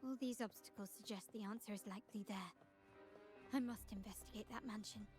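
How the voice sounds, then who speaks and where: An actress playing an elegant, commanding young noblewoman fighter in a video game, composed and deliberate in delivery. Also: mid-range voice, steady tone, darkish tone, close to an everyday voice. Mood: pensive, determined. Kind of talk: dramatic video game voice acting. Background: game music.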